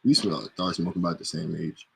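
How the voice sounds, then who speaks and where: A man's voice: low-pitched, fairly quick, gravelly, bright, forceful tone, slight background noise. A man in casual chat with friends, laid-back, slangy and profane, often breaking into laughs. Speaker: host.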